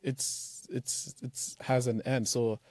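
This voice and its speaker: A young man from Nigeria answers interview questions in a loose, animated way, with many fillers. His voice is mellow and middle in pitch. The sound is dry and close. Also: takes it slowly, no room sound. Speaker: startup founder, guest. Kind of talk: casual interview talk.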